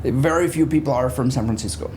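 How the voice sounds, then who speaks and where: A man's voice: mid-range voice, fast talker, noisy background. A man, talking conversationally as a guest in an interview. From Austria. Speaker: interview guest, business therapist.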